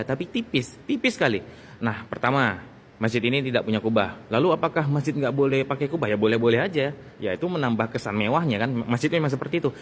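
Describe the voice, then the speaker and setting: A man talks in a mid-range voice, explaining things casually like a video host. He often repeats his phrases to drive a point home. There is some background noise around his voice. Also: medium pitch. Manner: repeats phrases for emphasis, conversational. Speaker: sound technician and video host. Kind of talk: casual explanatory vlog talk.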